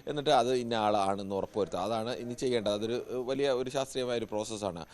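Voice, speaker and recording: A man's voice: on the low side, fast, a little mellow. A man giving unscripted live news analysis as a correspondent, explaining with frequent rephrasing.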